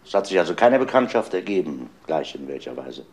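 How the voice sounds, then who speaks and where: A probing police inspector, played by a man in a crime radio play. His low voice swings widely as he presses and accuses with deliberate emphasis. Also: sing-song, a little mellow. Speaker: actor in an amateur crime audio play. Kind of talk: German radio crime drama acting.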